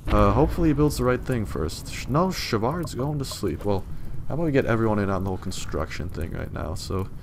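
Slightly hoarse young gamer guy. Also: on the low side, lively intonation, a little fast, few pauses, noisy background. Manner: loose, rambling, swears casually. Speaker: let's play gamer. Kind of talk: casual gameplay commentary.

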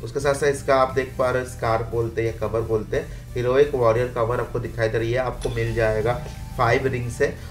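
A man gives a casual gaming walkthrough at a middling pitch. He explains things step by step, with music playing faintly behind his voice. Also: flat delivery, brisk. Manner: instructional, step by step. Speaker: gaming video host. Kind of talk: casual game event walkthrough.

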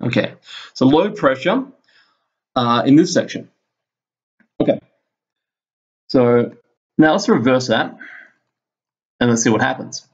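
A man speaks at an average pitch on a dull, lo-fi recording. He sounds like a teacher giving an informal lesson at his computer. He thinks aloud as he goes, building the explanation haltingly, one step at a time. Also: lively intonation. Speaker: physics teacher. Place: indoors, screen-recorded voice-over at a computer.

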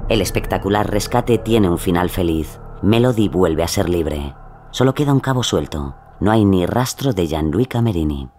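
A man's voice: sits low, expressive, normal speed, darkish tone, slight background noise. A man narrating a scripted true-crime story in dramatic style.

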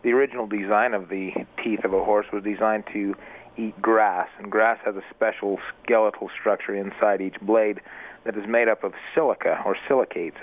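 A man who works as an equine dentist, calmly explaining his work as a guest in a radio interview. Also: on the low side. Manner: measured, methodical, explanatory. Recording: tinny phone line.

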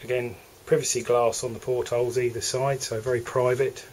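A man with an English accent walks through the cabins of a moored motor yacht, narrating a sales tour in a relaxed, hesitant way. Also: medium pitch, a little fast, a little hiss or hum, rough recording. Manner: relaxed, hesitant, with fillers and restarts. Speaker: yacht broker. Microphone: handheld camera mic.

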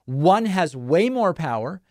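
Measured male talk show host. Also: a little high, sing-song, a little mellow, no echo, clean studio sound. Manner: measured, explanatory, lists points methodically. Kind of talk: call-in political talk show. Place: studio. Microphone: studio broadcast mic.

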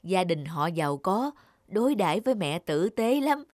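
A woman voicing a gentle, forgiving retired mother who reassures patiently in a cartoon drama. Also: lowish voice, very animated, normal speed, dry recording, clean studio sound. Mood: reassuring. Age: older.

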